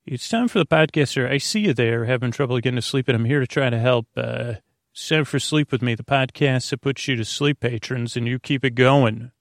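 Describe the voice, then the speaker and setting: An American man with a creaky voice, hosting a bedtime podcast in a lulling, rambling, hesitant way, full of filler words and tangents. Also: mid-range voice, brisk, no echo, studio quality. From United States. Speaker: podcast host.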